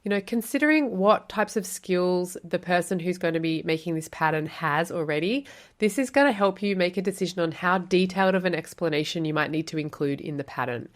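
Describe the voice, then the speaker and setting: A woman's voice: fairly low pitch, fairly quick. An Australian woman hosting a podcast, explaining quilt pattern writing in a chatty, encouraging way with lots of rhetorical questions. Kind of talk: solo podcast teaching.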